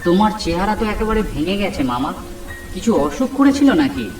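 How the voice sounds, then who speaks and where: A man's voice: sits high, big pitch swings, moderate pace, darkish tone, voice pushed up. A man voicing a young college-student nephew in a horror cartoon, worried, puzzled and full of questions. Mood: concerned. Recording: echoey, distant voice over music.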